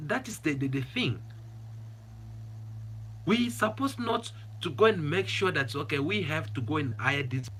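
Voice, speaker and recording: A husky, slightly breathy man delivers an opinionated vlog commentary. English is not his first language, and he talks with fervent emphasis, often saying a line twice to drive the point home. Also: mid-range voice, bright, forceful tone. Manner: passionate, emphatic, repeats phrases for stress. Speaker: channel host, commentator.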